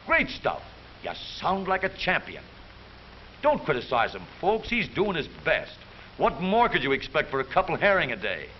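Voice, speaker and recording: A man's voice: fairly high pitch, wildly swooping pitch, moderate pace. An American man narrating a 1930s newsreel from a script, with a jaunty, theatrical, wisecracking delivery. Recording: muffled, hissy 1930s newsreel soundtrack.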